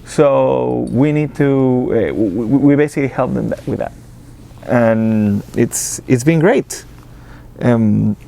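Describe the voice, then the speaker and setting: A man's voice: mid-range voice, creaky, dark tone. A man from Argentina with an Argentine accent, a guest in an interview who talks casually with many fillers and restarts. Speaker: interview guest, business accelerator director.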